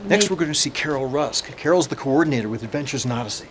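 A man's voice: medium pitch, lively intonation, fast, a little hiss or hum. A man co-hosting a podcast with casual chat while visiting offices. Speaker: podcast co-host. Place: offices, indoors.

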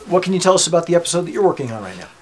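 A man's voice: on the high side, very animated, rapid-fire, darkish tone. A man co-hosting a podcast with casual chat while visiting offices. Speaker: podcast co-host. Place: offices, indoors.

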